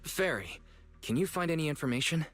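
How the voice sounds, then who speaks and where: A man's voice: fairly high pitch, animated, brisk, fairly bright tone, faint music behind. A man voicing a curious guide in a video game, casual and inquisitive in manner. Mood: puzzled, curious. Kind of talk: English video game voice acting.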